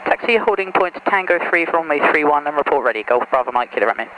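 A man's voice: on the high side, lively intonation, fast talker, sharp tone. A man making clipped pilot readbacks to air traffic control through a headset in a light aircraft. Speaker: pilot making radio calls. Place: small light aircraft cockpit. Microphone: aviation headset boom mic. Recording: noisy aviation headset radio.

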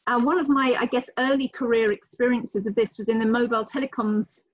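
A woman's voice: a little high, steady tone, brisk, no room sound. A middle-aged woman from the UK with a British accent, speaking articulately and enthusiastically as a guest on a live video call. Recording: dull lo-fi call audio.

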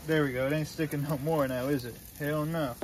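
A man's voice: a little high, steady tone, a little fast. A man in his twenties hosting a casual cooking vlog, talking in a slangy, jokey way and repeating his phrases. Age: 20s. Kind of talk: casual chat to camera while cooking. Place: mostly outdoors in a backyard by a grill. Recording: noisy outdoor phone-camera audio.

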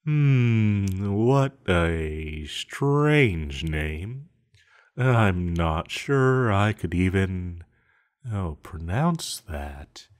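A man playing an ancient banished sorcerer villain in an intimate audio roleplay, musing calmly and haltingly with quiet menace. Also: low voice, heavily acted. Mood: musing, curious. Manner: slow, halting, musing with sighs and hums.

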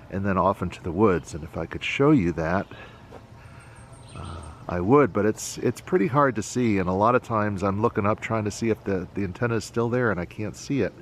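A middle-aged man hosting a casual explanatory vlog in a halting, unhurried way, recorded outdoors in a backyard. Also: fairly low pitch, slight background noise. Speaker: channel host.

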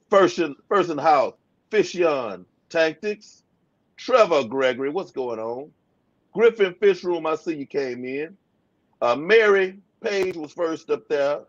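A man in his forties hosting a casual livestream from a room full of aquariums, talking in a relaxed, drawling way. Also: on the high side. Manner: laid-back, drawling, shout-outs to viewers. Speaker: livestream host. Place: home fish room with aquariums. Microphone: webcam video call. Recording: slightly dull video call audio.

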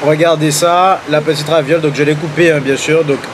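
A man's voice: on the high side, steady tone, brisk, background noise. A man chatting casually and enthusiastically as a food vlogger, using slang and addressing his viewers. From Guadeloupe. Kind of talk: casual vlog chat, tasting food.

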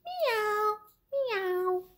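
Young woman doing friendly storybook farm animals, slow playful calls, voice swoops up high. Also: expressive, a bit bright, a little hiss or hum, heavily acted. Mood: playful, calling. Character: friendly farmyard animals calling out and inviting play.

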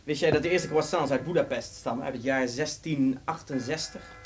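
A man's voice: mid-range voice, moderate pace, natural voice. A man playing a breezy, nosy, chatty intruder in a radio drama. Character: breezy, nosy, always hungry male intruder. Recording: noisy old radio recording, slightly distant.

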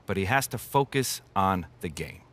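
An actor playing a wry, opinionated American TV sports pundit delivering emphatic commentary in a game. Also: male voice, low-pitched, expressive, moderate pace, a bit bright, full character voice. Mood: wry, punchy.

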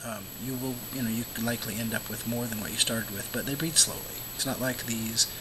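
A man hosting a home aquarium vlog, his voice hoarse and scratchy from illness and often fading to a whisper. He rambles in a relaxed way with plenty of fillers. Steady background noise sits under the recording. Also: medium pitch, a little fast. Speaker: aquarium vlogger, show host. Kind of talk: casual talk to camera.

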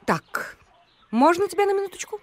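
A woman playing a brisk, bossy, overprotective mother in a cartoon. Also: extremely high pitch, sing-song, background noise. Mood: disapproving. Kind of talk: animated cartoon acting. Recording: music behind the voice.

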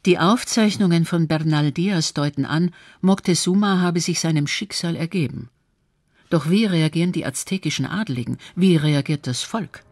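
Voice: mid-range voice, normal speed, no echo. A narrator reading a scripted historical documentary.

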